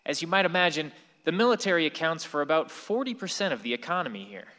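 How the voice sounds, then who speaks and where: A man's voice: on the high side, animated, fast talker, pushed and bright. An actor playing an angst-ridden sixteen-year-old boy who narrates a radio play in a reflective way. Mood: storytelling. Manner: reflective, storytelling to the listener. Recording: reverberant hall.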